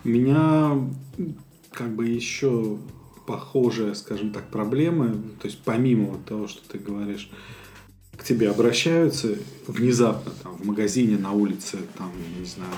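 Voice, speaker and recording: A man from Russia co-hosting a podcast, talking in a thoughtful, halting way with many fillers. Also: fairly low pitch, dark tone, faint music behind. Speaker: podcast co-host. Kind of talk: casual conversation.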